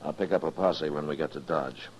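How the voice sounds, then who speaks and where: An American actor playing a steady, weary frontier marshal with a measured, grave manner in a radio western. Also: male voice, very deep voice, slightly rough, lo-fi sound. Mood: somber, steady. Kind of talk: 1950s radio western acting.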